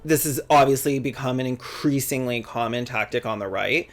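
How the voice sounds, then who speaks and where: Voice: mid-range voice, normal speed, faint music behind. A person narrating a scripted video essay in a sardonic, conversational tone, cursing freely. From Canada.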